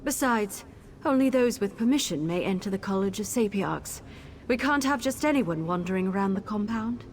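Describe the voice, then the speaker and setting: An actress playing a haughty, impatient scholar mage in a video game, curt, formal and condescending. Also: female voice, medium pitch, expressive, moderate pace, slight background noise, lightly acted. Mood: irritated, dismissive. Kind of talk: video game character dialogue. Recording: game music behind.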